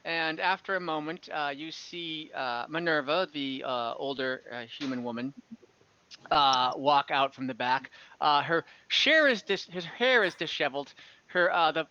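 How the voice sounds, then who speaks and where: Bright-voiced man narrating, slightly dull audio. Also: fairly high pitch, clean tone. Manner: halting, descriptive, often restarting phrases. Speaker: game master. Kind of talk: improvised tabletop game narration. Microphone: online voice call.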